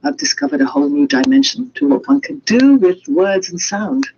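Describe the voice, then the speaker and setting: A woman in her sixties from London, Greek by first language, answering as a radio guest with thoughtful, halting pauses. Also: fairly high pitch, expressive, gravelly, dark tone. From London, England. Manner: hesitant, thoughtful, many pauses. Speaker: writer and broadcaster, guest. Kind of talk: radio interview. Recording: dull lo-fi remote line.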